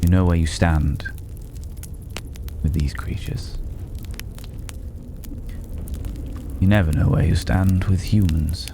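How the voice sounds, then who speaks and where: An extremely deep male voice , put on by a man, plays a cultured, reclusive stranger in a fantasy ASMR roleplay. He speaks slowly, with thoughtful pauses and soft drops toward a whisper. Clear background noise sits behind the voice. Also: steady tone. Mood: guarded, aloof. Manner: measured, pausing, philosophical, lapsing into breathy whispers. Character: mysterious, cultured, solitary male stranger living among dragon's hoard.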